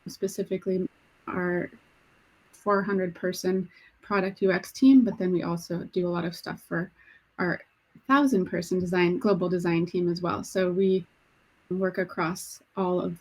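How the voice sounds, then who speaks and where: A woman panelist on a video call, introducing her career in a matter-of-fact, brisk way. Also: lowish voice, level tone, darkish tone, husky, rough recording.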